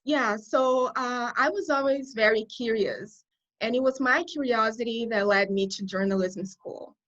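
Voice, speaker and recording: A woman's voice: fairly high pitch, unhurried, a bit bright, lo-fi sound. A woman from Brazil whose first language is Portuguese, speaking English with a Brazilian accent as a guest in an interview. She talks earnestly and often restarts her phrases while she thinks. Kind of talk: conversational interview.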